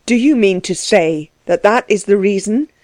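A woman's voice: medium pitch, animated, moderate pace, a little mellow, no room sound, studio quality, natural voice. A woman voicing an impassioned, insistent man pleading for love in an audiobook story. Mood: incredulous, hurt. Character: lovesick man, intense, pleading, insistent, hopeless.

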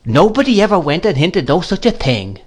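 A man's voice: sits high, very animated, fairly quick, pitched above the performer's own voice. A man doing a blunt, stubborn old housemaid. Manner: emphatic and stubborn. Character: stubborn, blunt older female servant.

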